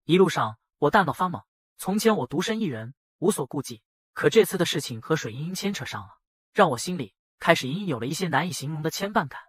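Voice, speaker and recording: A man with a husky voice narrating a dramatized first-person story. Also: a little high, very animated, fast talker, no echo. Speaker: narrator and voice of the male lead.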